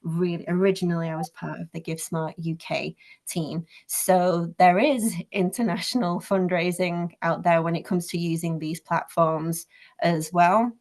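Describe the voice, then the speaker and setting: A woman with a Manchester accent speaks over a video call, her voice sitting on the lower side. She presents a webinar in an earnest, careful way, catching and rephrasing her own words as she goes. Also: steady tone, normal speed, darkish tone. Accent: Manchester English. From England. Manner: earnest, careful, self-correcting. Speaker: webinar presenter and company founder.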